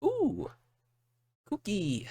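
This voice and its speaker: A man giving casual reaction commentary as a streamer, halting and often repeating his words. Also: high-pitched, wildly swooping pitch, gravelly, mellow, husky.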